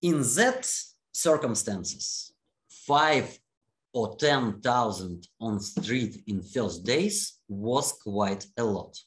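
Russian-accented man, unhurried, picks his words carefully, average pitch, interview guest. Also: lively intonation. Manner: deliberate, searching for words, repeats and restarts. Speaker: interviewee, anti-war activist. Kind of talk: long answers in an interview.

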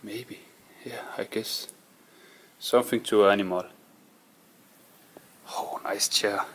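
A man with a low voice mutters his way through an abandoned farmhouse, pausing and thinking out loud. He speaks slowly and haltingly, in imperfect English. Faint hiss sits under the camera audio. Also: fairly even intonation. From Denmark. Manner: musing, halting, talks to himself while exploring. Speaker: urban explorer. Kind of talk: casual walkthrough narration. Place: abandoned house and barn, indoors and outdoors.

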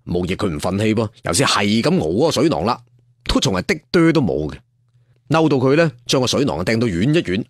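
A man's voice: on the low side, sing-song, fairly quick, dry recording, clean studio sound. A man telling a serial story in a theatrical, colloquial traditional storyteller style. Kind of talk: dramatic serial storytelling narration.

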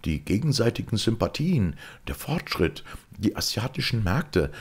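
A man's voice: lowish voice, big pitch swings, normal speed, husky, pitched above the performer's own voice, heavily acted. Man acting a gushing, pompous town mayor. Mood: pompous toasting. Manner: effusive, declamatory.

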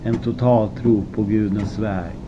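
A man narrating an audiobook from a script, in deliberate phrases with dramatic pauses. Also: on the low side, steady tone. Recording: muffled lo-fi with clear background noise.

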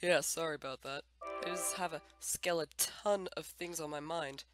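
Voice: medium pitch, steady tone, normal speed, close to an everyday voice. Actor playing male skeleton joker, tired, dry, casual, bitter undertone, comic dub, music behind. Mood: deadpan joking.

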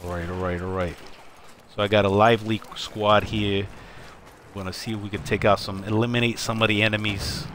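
A man's voice: lowish voice, level tone, brisk, fairly bright tone, a little hiss or hum. A man commenting on a live shooter game, chatty and full of wiseguy catchphrases, greeting teammates and viewers by name. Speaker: game streamer.

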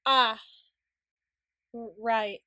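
A studious young tsundere woman , acted out by a woman, speaks in a high voice at an unhurried pace. She is prim and wordy, halting and stammering as her composure slips. It is a close, dry ASMR roleplay performance. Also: steady tone, bright, forceful tone, no echo, full character voice. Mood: hesitant, tender.

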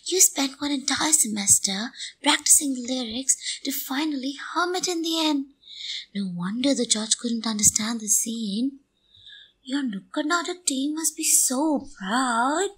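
Woman playing a slow, husky, taunting ghost. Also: high voice, animated, a bit bright, heavily acted, rough recording. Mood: gleeful taunting. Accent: Indian. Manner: mocking, theatrical, drawn-out delivery. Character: taunting, gleeful female ghost of forgotten lines. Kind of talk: radio play acting.

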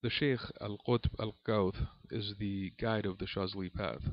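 A man reading aloud from a spiritual book as a lesson, in a measured, reverent manner. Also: on the low side, fairly even intonation. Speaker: reader and religious teacher. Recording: dull, lo-fi recording in an echoey room.

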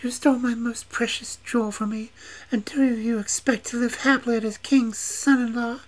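A fierce young witch maiden from a storybook hisses and shrills out threats in a voice pitched far above a man's own, as a male reader puts her on. She sounds scornful and merciless, the words pushed hard and loud. It is plainly a different character from the reader. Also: fairly even intonation, moderate pace. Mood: vengeful. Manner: shrill, high, seething threats.

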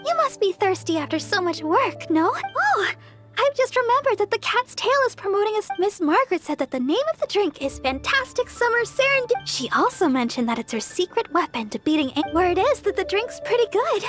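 Girlish anime game nun, very high light voice, sweet, cheerful, chatty, polite, soft background music. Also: big pitch swings, fairly quick, exaggerated voice. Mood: cheerful, helpful. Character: sweet, eager, cheerful young church sister.

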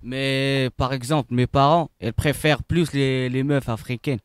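A man's voice: mid-range voice, steady tone, a little slow, clear, resonant tone. A young man answering a street interview by a river, blunt and slangy, speaking into a handheld mic. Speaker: passer-by. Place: riverside outdoors. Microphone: handheld microphone.

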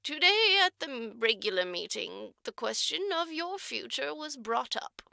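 A woman voicing a prim, condescending orphanage matron in an audiobook, with slow, formal, drawn-out delivery. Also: on the high side, big pitch swings, creaky, sharp tone, dry recording, studio quality, exaggerated voice. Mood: formal, disapproving. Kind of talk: audiobook character voice.

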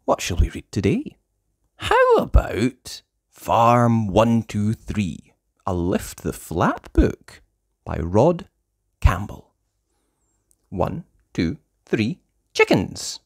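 A storyteller reads a picture book for small children at a very slow pace. The pitch swings widely in a sing-song, exaggerated delivery, sitting in a middle register overall. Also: huge pitch swings. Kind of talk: read-aloud for young children, slow counting.

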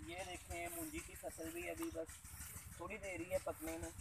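A young man, his voice slightly high, points things out to viewers in a chatty village vlog. He is recorded outdoors in open fields on a camera mic, with clear noise and country ambience behind him. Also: flat delivery, normal speed. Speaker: village vlogger. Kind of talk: casual vlog commentary. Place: outdoors in rural rice fields. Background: open-air rural ambience.

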